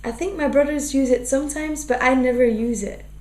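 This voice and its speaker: A woman's voice: high voice, brisk, a little mellow. A young woman from Flanders with a Flemish accent, talking thoughtfully in an interview and often restarting her sentences. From Flanders, Belgium. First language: Dutch. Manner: thoughtful, full of fillers and restarts. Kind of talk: casual interview. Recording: echoey room with faint hum.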